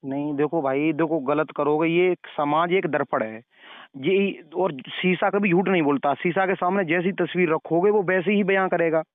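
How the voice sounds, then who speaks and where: Man, clear resonant voice, moralizing phone caller who repeats himself to make points; dry, telephone-grade sound. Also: fairly high pitch, normal speed, no room sound. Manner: moralizing, preachy, repeats phrases. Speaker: caller questioning and advising the other party. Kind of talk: phone conversation. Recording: narrow tinny phone-call recording.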